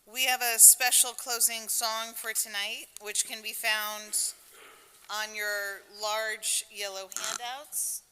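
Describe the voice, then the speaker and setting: A woman leading a prayer service, speaking and chanting prayers in a steady, measured cadence in a synagogue sanctuary. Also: a little high, a little hiss or hum. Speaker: service leader. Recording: echoey sanctuary hall.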